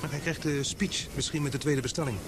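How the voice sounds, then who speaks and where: An actor playing an earnest young reporter in an audio drama, polite, hesitant but insistent. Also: male voice, fairly high pitch, fairly quick, very noisy, natural voice. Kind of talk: Dutch-language audio drama acting.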